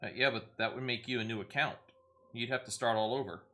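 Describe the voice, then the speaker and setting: A male game streamer with a medium-pitched voice talks casually to viewers. He explains things helpfully, feeling his way through each point with some hesitation. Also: fast, sharp tone. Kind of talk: casual livestream chat.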